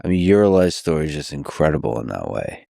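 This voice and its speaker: Male podcast host, low voice, slow and measured, dry close mic. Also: very animated, vocal fry, dark tone, dry recording. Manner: slow, measured, reads a long post aloud. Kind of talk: long-form interview.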